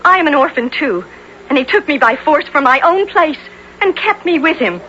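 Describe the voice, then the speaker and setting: An actress playing a frightened, slight young runaway lad, pleading hesitantly in archaic speech in a 1970s radio drama. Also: female voice, sits high, lively intonation, moderate pace, pushed and bright. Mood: fearful. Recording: dull, slightly noisy old radio broadcast.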